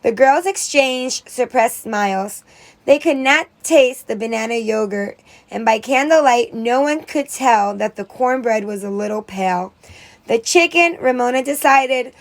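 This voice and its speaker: High voiced lady teacher doing an expressive storybook read-aloud, dramatic emphasis. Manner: dramatic emphasis on exclamations and character lines.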